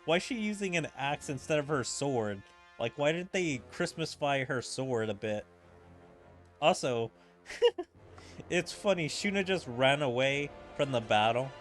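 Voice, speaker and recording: A man's voice: medium pitch, animated, soft background music. A young man streaming a game, chatting in an amused, casual way about what happens on screen. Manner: chatty, amused, quick laughs. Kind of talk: casual gameplay commentary.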